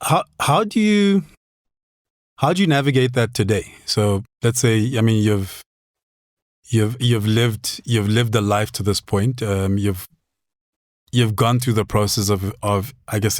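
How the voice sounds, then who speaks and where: A man in his forties from Southern Africa hosts a podcast and asks reflective questions. He speaks slowly and hesitantly, and he often restarts before he finishes a thought. His voice is low, and the sound is close and dry. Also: no room sound. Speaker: podcast host.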